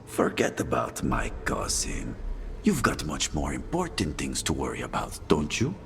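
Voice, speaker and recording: A bitter, menacing assassin from a video game. He speaks in a very deep, creaky voice with plenty of movement in it. His manner is insinuating and condescending. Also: wildly swooping pitch, measured pace, a little hiss or hum, exaggerated voice. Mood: condescending, coaxing. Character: smooth-talking, bitter, menacing male cousin, an assassin. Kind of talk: video game character acting.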